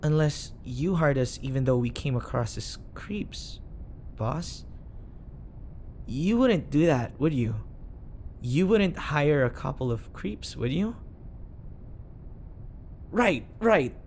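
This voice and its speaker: A man's voice: on the high side, lively intonation, slow, pauses often, a little mellow, slight background noise. A man playing an awkward, eager, obsessive bodyguard sidekick who rambles and corrects himself in an audio roleplay. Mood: flustered, needy. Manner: rambling, flustered, self-correcting.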